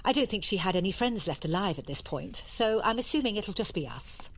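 Casting: middle-aged English mother, brisk, contradicting, matter-of-fact, swooping intonation, audio drama. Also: mid-range voice, expressive. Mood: brisk, dry. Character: brisk, dismissive middle-aged mother hosting a tense family dinner. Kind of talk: naturalistic audio drama acting.

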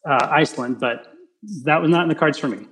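A man with a loud voice answering interview questions casually, with many restarts and fillers. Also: a little high, rough recording. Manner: halting, full of restarts and fillers. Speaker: interview guest. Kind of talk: casual video-call interview.

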